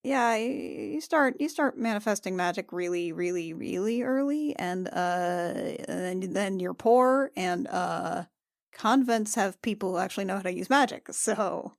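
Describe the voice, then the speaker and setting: A woman playing a tabletop game, improvising casually in character with a halting, flustered, awkward manner. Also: mid-range voice, vocal fry, no room sound, clean studio sound.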